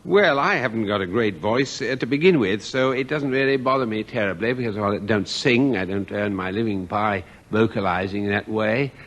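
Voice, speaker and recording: A man's voice: lowish voice, normal speed, few pauses, fairly bright tone, dull audio. A man on a radio panel game, talking in a dry, understated, mumbling way. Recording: 1980s radio broadcast with studio audience.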